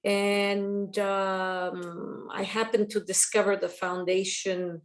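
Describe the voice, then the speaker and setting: A woman guest telling a personal story in a lively way, acting out quoted dialogue. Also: mid-range voice, slow pace. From Montreal, Canada. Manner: animated, acts out quoted dialogue. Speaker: guest, foundation chapter coordinator.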